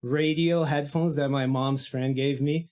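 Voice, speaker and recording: Animated Brazilian man guest. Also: on the high side, unhurried, smooth. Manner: enthusiastic, talks in restarts and fillers. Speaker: guest musician. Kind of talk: casual podcast interview.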